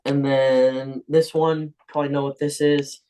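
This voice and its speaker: A young man, a professional footballer, answering interview questions in a relaxed, casual way with frequent ums. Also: mid-range voice, unhurried, a little hiss or hum.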